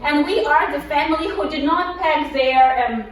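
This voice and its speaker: A middle-aged woman with a Ukrainian accent gives an informal presentation in a high-pitched voice. She speaks conversationally and haltingly. The sound is very reverberant and picked up from a distance. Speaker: guest presenter. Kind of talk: informal personal presentation to a group. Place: echoey indoor room, live presentation. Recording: echoey room, distant mic, faint noise.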